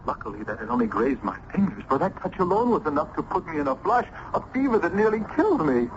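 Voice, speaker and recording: A passionate young student torn by love, with melodramatic intensity. His voice leaps up and down as in a vintage radio play. The recording is muffled, with clear noise behind him. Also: a little high, sing-song, fast. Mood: bitter, accusing. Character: passionate young male student, tormented lover, bitter and accusing. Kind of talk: old-time radio drama acting. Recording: noisy, muffled old radio broadcast.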